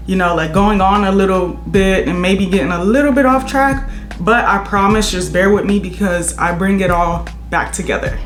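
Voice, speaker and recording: Late-twenties woman, candid rambling vlog chat, roomy room sound, faint music underneath. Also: mid-range voice. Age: 20s. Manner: chatty, rambling, candid venting. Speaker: beauty vlogger. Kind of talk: casual get-ready-with-me chat. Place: her apartment.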